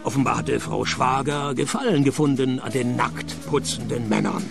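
An actor playing a sardonic private detective who narrates a radio play in a dry, deadpan, mocking tone. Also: male voice, medium pitch, moderate pace, vocal fry, over music. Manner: deadpan, sarcastic.

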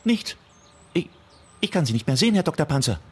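A man's voice: a little high, very animated, fairly quick, husky. An actor playing a timid, wistful man in a comic radio play, deferential, hesitant and earnest. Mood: anxious, wistful.

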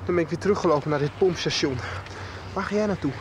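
An actor playing a dazed, shaken young man in a radio drama, speaking haltingly and repeating himself. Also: fairly high pitch, noisy background, close to an everyday voice. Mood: dazed, confused. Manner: halting, trembling, repetitive. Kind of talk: 1990s radio drama acting.

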